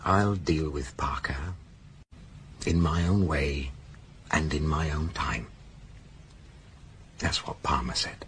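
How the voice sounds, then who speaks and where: A tired policeman full of self-doubt in a radio crime drama. His voice is extremely low, and he speaks in halting, brooding murmurs. There is a faint noisy ambience behind him. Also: fairly bright tone, exaggerated voice. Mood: brooding, weary. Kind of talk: naturalistic radio drama dialogue.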